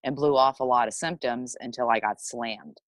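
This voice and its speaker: Supportive video-call host, mid pitch, dry close sound. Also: moderate pace, no echo. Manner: supportive, asks follow-up questions. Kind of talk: casual group video chat.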